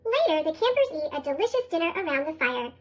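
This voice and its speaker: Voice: high voice, big pitch swings, fast talker. A narrator reading a children's storybook expressively through a sped-up chipmunk effect. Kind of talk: scripted storybook read-aloud for young children.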